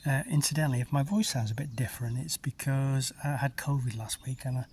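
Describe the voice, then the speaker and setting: A farmer casually talking through a machine repair, thinking aloud with frequent ums and sounding a bit chesty. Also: male voice, mid-range voice, brisk, a little mellow, a little hiss or hum. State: a bit chesty after illness. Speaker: farmer and video maker.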